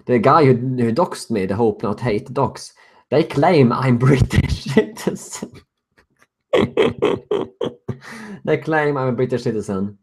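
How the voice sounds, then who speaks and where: A man's voice: medium pitch, lively intonation, slow, pauses often. A young Swedish man with a Swedish accent hosting a casual live-stream Q&A, answering viewer questions and chuckling often. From Sweden. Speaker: host.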